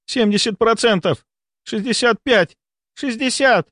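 A man's voice: very high voice, unhurried, a bit bright, dry recording, studio quality, pitched above the performer's own voice, heavily acted. A man voicing an alarmed woman medic crying out urgently in an audiobook story. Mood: panicked.

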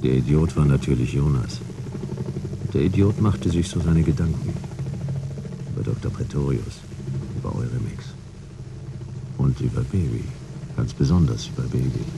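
Very deep, gravelly detective narrator. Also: male voice, normal speed, pauses often, background noise, full character voice. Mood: wry, brooding. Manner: laconic, deadpan, clipped sentences. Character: dry, world-weary male detective telling his own case. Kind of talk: hard-boiled radio drama narration. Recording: loud vehicle ambience behind.

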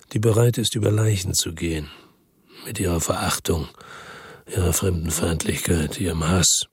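An actor playing a world-weary, bitter crime investigator who narrates and talks tersely in a radio drama, with a gravelly, husky, deadpan voice. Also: male voice, low voice, no room sound, clean studio sound, full character voice. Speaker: first-person narrator and lead character in a radio drama.

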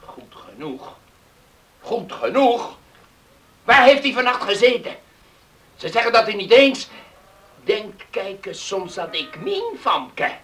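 An actor playing a stern, pious village patriarch in a radio drama, scolding and emphatic, repeating himself in indignation. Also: male voice, sits high, big pitch swings, takes it slowly, long pauses, fairly bright tone, distant mic, heavily acted. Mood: indignant.

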